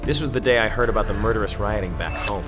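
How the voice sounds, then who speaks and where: A man playing a sombre war chronicler, giving measured, dramatic narration for a video game cutscene. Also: on the low side, natural voice. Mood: sombre, reflective. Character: sombre male war correspondent recounting a grim war. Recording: loud music under narration.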